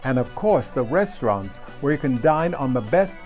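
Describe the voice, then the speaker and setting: A man narrating a travel show in a measured, descriptive scripted voice-over. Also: mid-range voice, big pitch swings, over music.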